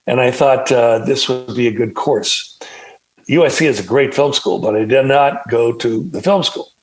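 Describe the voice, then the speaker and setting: An older man from California, a film director and producer, telling his story in a measured, reflective way. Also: mid-range voice, low-quality recording. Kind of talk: interview storytelling.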